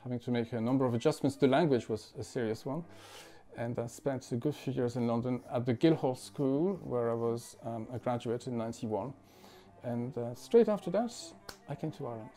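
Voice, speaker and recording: A man in his forties from France, a musician talking thoughtfully at home, with many pauses, fillers and restarts. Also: mid-range voice, mellow, faint music behind. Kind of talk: reflective interview talk. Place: living room at home.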